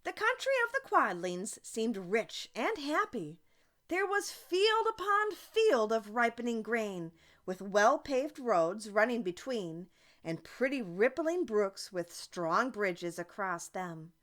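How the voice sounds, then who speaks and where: A woman reading a children's story aloud as an audiobook narrator, expressive and deliberate. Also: fairly high pitch. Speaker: audiobook reader.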